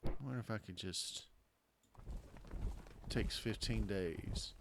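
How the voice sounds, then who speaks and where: A man with a hoarse voice, casually commenting on a strategy game he is playing and thinking aloud in a hesitant way. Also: fairly low pitch, slow, noisy background. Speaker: gameplay commentator. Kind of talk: casual let's-play commentary.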